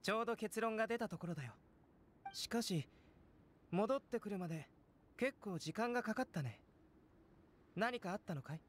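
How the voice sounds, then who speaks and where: A man playing a calm young alchemist in an anime game, explaining things in a measured, composed way. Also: sits high, a little hiss or hum. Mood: calm, explanatory. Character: calm, soft-spoken young male alchemist scholar. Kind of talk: Japanese anime game voice acting.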